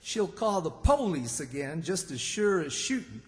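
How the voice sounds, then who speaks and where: Fretful rural Southern old-timer, high swooping voice, slow drawl, radio comedy, reverberant hall. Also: male voice, very animated. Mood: worried. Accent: rural Southern American. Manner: folksy, fretful, exclaiming. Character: flustered, folksy older country man, gullible. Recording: stage performance in reverberant hall.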